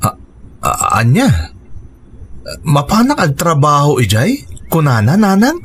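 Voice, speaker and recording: A man's voice: a little high, huge pitch swings, measured pace, fairly bright tone, a little hiss or hum, exaggerated voice. A man acting an earnest, dutiful young husband and son in an Ilocano radio drama. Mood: surprised, hesitant.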